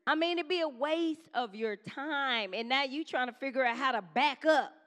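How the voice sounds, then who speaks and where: A woman preaching a sermon to her congregation in a conversational style, with quick call-and-response asides to the church. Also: high voice, fairly bright tone. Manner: says she has a strong voice.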